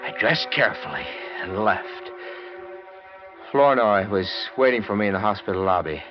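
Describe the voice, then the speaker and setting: A man's voice: fairly low pitch, animated, moderate pace, close to an everyday voice. An actor playing a guilty, evasive man in a vintage radio crime drama, hesitant in his speech, on a dull old recording with music behind him. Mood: grim, tense. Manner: hesitant, stammering when questioned. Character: guilty man narrating, nervous, evasive, feigning confusion.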